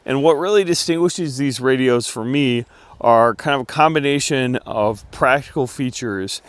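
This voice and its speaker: A man's voice: mid-range voice, normal speed, clean tone, no room sound. Casual male gear reviewer, close dry sound. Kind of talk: casual outdoor product review.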